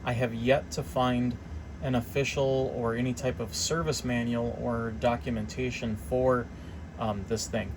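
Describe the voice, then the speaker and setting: A man with an average-pitched voice gives an informal, hands-on demonstration as a hobbyist repairer. He talks in a halting, think-out-loud way, unscripted and explanatory. A clear, steady background noise runs under his voice. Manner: halting, thinking aloud as he goes.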